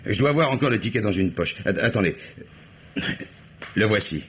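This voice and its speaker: An actor playing a touchy crime suspect in a French radio mystery, answering with indignant, evasive, sarcastic politeness. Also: male voice, on the low side, expressive, normal speed, fairly bright tone. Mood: defensive. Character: male crime suspect, touchy, sardonic former swindler. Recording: faintly noisy old radio recording.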